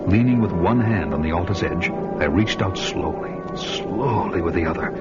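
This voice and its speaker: A man's voice: low-pitched, normal speed, natural voice. An actor playing a bold barbarian hero, narrating his adventure in grandiose, dramatic radio style. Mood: tense, dramatic. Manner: grandiose, storytelling. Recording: dull, band-limited radio recording, music behind.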